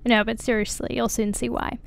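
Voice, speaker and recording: A woman narrates a science feature in a wry, conversational voice-over. Her voice sits fairly high for a woman. Faint music plays beneath her. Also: little pitch movement, fairly quick, gravelly. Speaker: science video reporter. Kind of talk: scripted narration.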